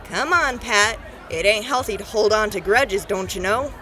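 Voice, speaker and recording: A woman's voice: mid-range voice, moderate pace, over music, crowd noise, close to an everyday voice. A woman voicing a cheery, folksy barmaid who coaxes and encourages in an audio drama. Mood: coaxing. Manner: chirpy, folksy.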